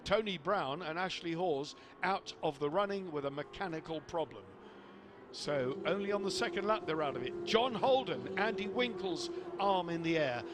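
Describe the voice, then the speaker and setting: A man giving live motorsport commentary, excitable and quick to lift his voice at each incident on track. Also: a little high. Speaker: race commentator. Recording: loud engine noise behind. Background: racing engines.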